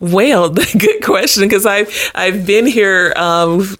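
A woman's voice: mid-range voice, expressive, slow pace, a bit bright, no echo. A middle-aged woman answering interview questions as an advocate, explaining things earnestly in a conversational way. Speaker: guest, domestic abuse advocate.

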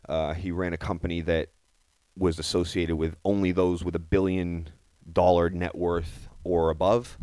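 Deep-voiced indignant man. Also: darkish tone, clear, resonant tone, no room sound. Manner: indignant, emphatic, repeats phrases for stress. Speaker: podcast host. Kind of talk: opinionated current-affairs commentary.

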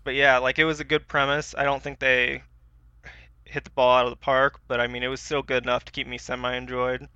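A man's voice: mid-range voice, flat delivery, fairly quick, bright, forceful tone, clean tone, no echo. A man co-hosting a podcast in casual, slangy, informal chat, on a slightly dull recording. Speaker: podcast co-host. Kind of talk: casual chat about pop culture.

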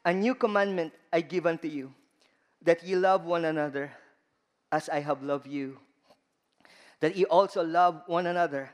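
Filipino-accented male preacher, high voice, deliberate pace, big reverberant church. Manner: slow, emphatic delivery with rising stresses. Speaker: guest preacher. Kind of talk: sermon. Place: large church hall. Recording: long reverb of a church hall.

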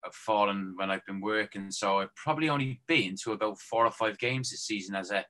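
A man's voice: fairly low pitch, fairly quick, a bit bright. An Irish man, a former footballer, chatting casually and joking as a guest on a phone-in show. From Ireland. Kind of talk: casual chat.

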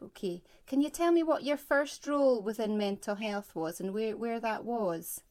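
A woman interviewing an older woman over a phone call, gently and hesitantly with many fillers. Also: fairly high pitch, normal speed. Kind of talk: oral history interview.

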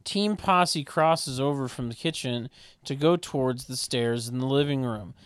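Guy doing sardonic narration for a table read, deadpan, really dry close mic. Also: medium pitch, a little slow, clear, resonant tone, no echo. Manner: deadpan, mocking asides. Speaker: narrator reading stage directions.

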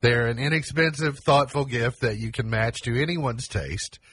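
A man's voice: medium pitch, moderate pace, a bit bright, slightly rough. A man co-hosting a casual talk show, bantering with dry wordplay between items he reads off a list. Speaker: co-host. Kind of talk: casual banter around reading a gift list.

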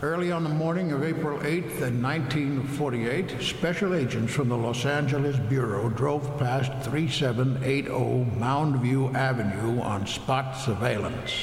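An actor playing a cold, officious FBI official reading memos in a clipped, impersonal way for a radio drama. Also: male voice, medium pitch, slight background noise, close to an everyday voice. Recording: echoey hall reverb, faint music.

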